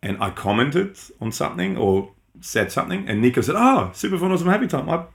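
A man's voice: on the high side, very animated, fast, creaky. A middle-aged man who grew up in Austria with German as his first language, co-hosting a podcast in casual, rambling chat full of ums and restarts. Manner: rambling, many ums and self-corrections. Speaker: podcast co-host.

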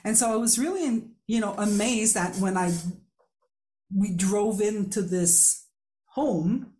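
A woman's voice: mid-range voice, slow pace, warm tone. A woman from Quebec, a native French speaker with a Quebec French accent, hosting a podcast in a chatty, laughing, storytelling way. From Quebec, Canada. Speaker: podcast host. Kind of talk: casual interview chat.

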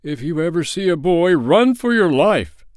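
A man voicing a mild, courteous little sea crab with formal, drawn-out politeness for a children's storybook. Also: fairly high pitch, sing-song, dry recording, studio quality, pitched above the performer's own voice. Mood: gloomy warning. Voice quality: mild, small voice. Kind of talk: storybook character voice.

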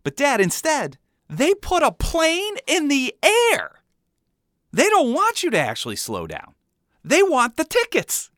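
A precocious, stubborn boy from a comic family story, as a man acts him out. The voice is pushed high and bright. He argues insistently, with animated, indignant swings. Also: moderate pace, no room sound, clean studio sound, heavily acted. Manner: insistent, argumentative, high-strung.

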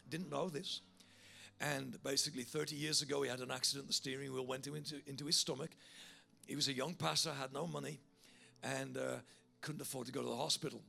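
Gravelly big-voiced preacher man. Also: mid-range voice. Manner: animated storyteller, building to loud fervent altar call. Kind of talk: sermon with storytelling.

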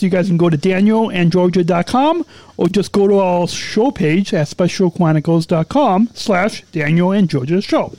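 A man's voice: sits high, dark tone, no room sound. A man co-hosting a casual podcast, signing off with repeated, stumbling, upbeat plugs. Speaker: podcast co-host.

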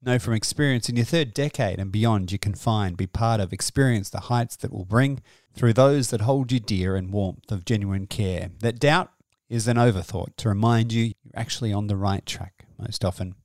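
A man hosting a music podcast in a measured, earnest way, presenting scripted news in wordy phrases on a close microphone. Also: fairly low pitch, a little mellow, dry recording. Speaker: podcast host.

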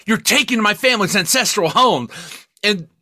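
A man co-hosting a podcast, chatting casually and profanely about films in an emphatic, rambling, excitable way. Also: sits high, normal speed, dry recording, studio quality. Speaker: podcast co-host. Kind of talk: casual, profane film review chat.

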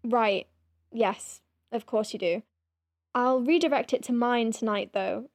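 A proud senior doctor at a space clinic , played by a woman, speaks in a slightly high voice. She is formal and literal, clipped in her phrasing, with kindness under the stiffness. It is audio drama, recorded close and dry. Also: a little slow, no room sound. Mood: tentative, softening.

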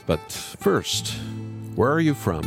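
A man hosting a ministry podcast, introducing his guest with an expressive, preacher-like delivery. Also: medium pitch, slow, creaky. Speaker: podcast host. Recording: light guitar music underneath. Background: soft guitar music.

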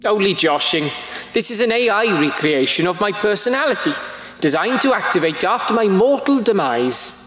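A man's voice: high voice, big pitch swings, moderate pace. A man voicing the AI copy of a dead mad scientist, gleefully eccentric, theatrical and chatty, in a sci-fi audio drama. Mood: breezily vengeful. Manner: theatrical, breezy, chatty villainy. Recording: cavernous echo, loud music behind.

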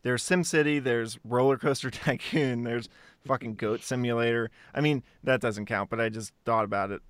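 A man with a middle-pitched voice speaks as a podcast co-host. He is conversational and works out his ideas aloud. The recording is very dry, with no room sound. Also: fairly even intonation, normal speed, no echo. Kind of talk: casual podcast discussion.

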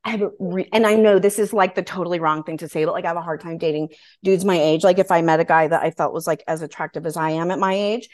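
A woman in her forties with a somewhat low voice hosts a relaxed conversation. She talks informally and candidly, putting emphasis on her points. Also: fast talker. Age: 40s. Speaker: podcast host. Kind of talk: casual chat.

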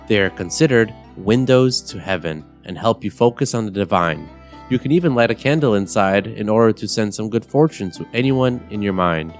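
A young man from Bulgaria hosting a podcast, guiding a meditation in a calm, measured, soothing way. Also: lowish voice. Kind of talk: guided meditation narration. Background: soft guitar music.

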